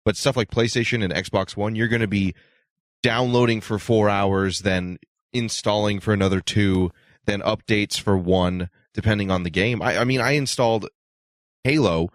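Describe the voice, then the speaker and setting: Guy co-hosting, kinda low voice, casual wisecracks, no room echo at all. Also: steady tone, moderate pace. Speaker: talk show co-host.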